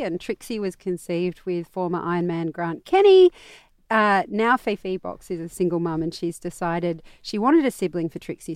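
A woman's voice: on the low side, darkish tone, no room sound, clean studio sound. A woman in her forties with an Australian accent, hosting a parenting panel chat. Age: 40s. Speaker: podcast host.

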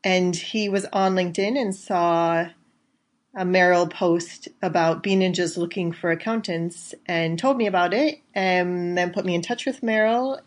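A woman in her thirties from Chicago, a guest giving calm, measured interview answers. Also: mid-range voice. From Chicago, USA. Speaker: guest, accountant and yoga teacher.

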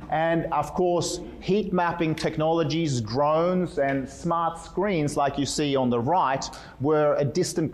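A man from Sweden with a Swedish-Australian accent, giving a fast, playful keynote talk at a conference. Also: on the high side, a little mellow. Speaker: keynote speaker. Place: conference room.

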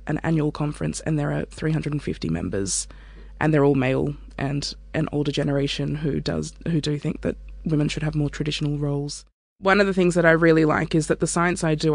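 A woman's voice: deep voice, no echo. A woman, a research scientist speaking candidly and reflectively about her work in an interview. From Australia. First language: Turkish. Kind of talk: interview monologue.

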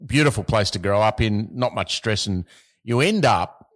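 A man's voice: lowish voice, animated, normal speed. Man hosting an interview podcast. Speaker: podcast host.

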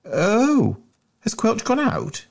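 A man's voice: high voice, wildly swooping pitch, extremely slow, gravelly, voice pushed up, exaggerated voice. A man voicing a fat, flustered schoolboy from a storybook, greedy and indignant, who stammers and blusters out his excuses. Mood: stammering excuses. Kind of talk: storybook character voice in a dramatic reading.

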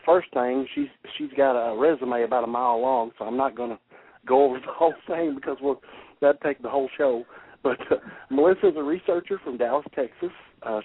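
A middle-aged man with a Kentucky accent hosting a radio show in a folksy, halting way. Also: mid-range voice, slightly rough. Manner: folksy, halting, laughs often. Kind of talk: casual radio interview. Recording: tinny phone-line radio audio.

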